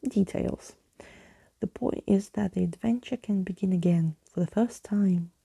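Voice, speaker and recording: A woman's voice: mid-range voice, unhurried, creaky, mellow, breathy edge, no echo. A woman voicing a theatrical, wistful yet gleeful old male skeleton in a storybook, with florid old-fashioned speech.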